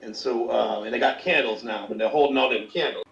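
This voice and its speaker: A man hosting a casual talk show, cracking crude jokes and talking in halting, filler-laden bits. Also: mid-range voice, creaky, crowd noise. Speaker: podcast host. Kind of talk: casual joking chat. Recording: echoey, distant, dull lo-fi call audio.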